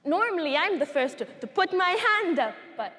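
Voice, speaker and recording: An earnest, wounded woman survivor acted out by a woman tells of her childhood in a dramatic stage monologue. Her voice is high and rings through a large, echoing hall. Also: sing-song, moderate pace, pushed and bright, exaggerated voice. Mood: solemn, hurt.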